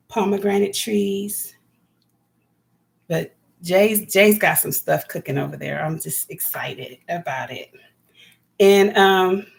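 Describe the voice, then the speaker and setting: A raspy-voiced woman chatting loosely to her viewers on a live stream, addressing them by name as she rambles along. Her voice carries a strong gravelly fry at a middle pitch. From California. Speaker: homesteading vlogger.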